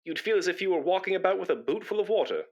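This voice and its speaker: A man's voice: sits high, animated, racing, highs cut off. An actor playing a pompous, self-important man in a British radio comedy, blustering and indignant, with a matey know-all manner. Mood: chatty, know-all.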